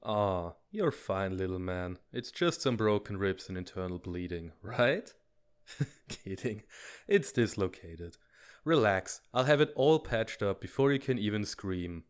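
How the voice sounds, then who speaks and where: An actor playing an easygoing, teasing academy medic with a breezy, joking way of talking in a comic fan dub. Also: male voice, lowish voice, lively intonation, a little slow, clean tone.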